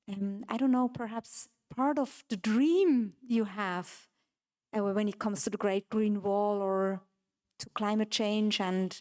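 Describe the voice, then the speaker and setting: A woman moderating a public panel, a non-native English speaker, friendly and filling her pauses with "um". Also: fairly high pitch, dark tone. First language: not English.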